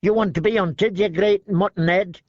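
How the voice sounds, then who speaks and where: A man's voice: high-pitched, steady tone, moderate pace, no room sound, muffled recording, pitched above the performer's own voice. A man voicing a thin, nervous, complaining male deserter in an audiobook, with a sneering, whining delivery.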